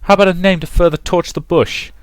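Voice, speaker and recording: A jealous young fiancée in a visual novel, acted out by a man, pitched well up and forceful. She is furious and shrill, flinging accusations with heavy emphasis. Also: steady tone, a little fast, no echo, natural voice. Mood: accusing.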